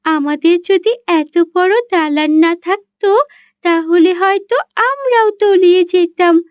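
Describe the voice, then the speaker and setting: A woman's voice: very high voice, measured pace, no echo, clean studio sound. A woman voicing a kind, worried young daughter in a cartoon, speaking earnestly. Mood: earnest concern. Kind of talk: cartoon voice acting.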